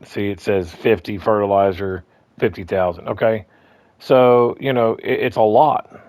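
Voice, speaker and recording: A man with a slightly hoarse voice walking through his game farm in a casual, rambling way, full of ums and restarts. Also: on the low side, a little mellow, no room sound. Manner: rambling, repeats himself, lots of ums and restarts. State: slightly hoarse, clearing throat. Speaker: gaming guide host. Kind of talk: casual gameplay walkthrough.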